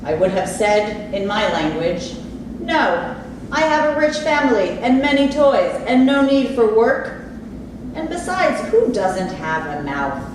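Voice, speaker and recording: A woman's voice: a little high, sing-song, measured pace. A woman playing a haughty, put-upon immigrant maid who was once rich, declaiming indignantly in a staged play reading. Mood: indignant, wistful. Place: indoor theatre space, distant microphone. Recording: echoey, noisy room from far off.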